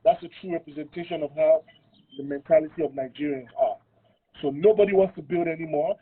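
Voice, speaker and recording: A man makes his case on a podcast, pressing his points again and again. His voice sits fairly high and sounds husky. He comes through a thin, phone-like call line. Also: fast talker. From London. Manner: emphatic, repeats points, asks rhetorical questions. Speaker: panel guest. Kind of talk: heated podcast debate. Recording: tinny phone-line audio.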